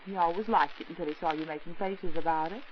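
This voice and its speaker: An actress playing a prim, snobbish American wife in a 1940s radio drama, haughty, fussy and emphatic. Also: female voice, lowish voice, quick pace, husky. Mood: disapproving. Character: prim, snobbish wife, easily won over by prestige. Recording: dull 1940s radio recording.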